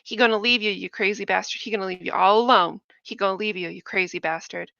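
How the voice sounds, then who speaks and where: A woman's voice: mid-range voice, steady tone, fast, vocal fry, bright, forceful tone, no echo. A woman voicing a gigantic, scornful rabbit that taunts cruelly in a storybook reading. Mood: taunting. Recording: dull lo-fi dry recording.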